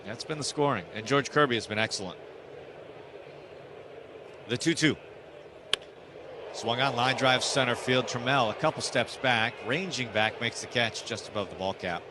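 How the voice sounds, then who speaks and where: A male radio announcer calls a baseball game live from a ballpark booth. His voice sits in a middle pitch, with clear outdoor background noise behind the commentary. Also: normal speed, long pauses.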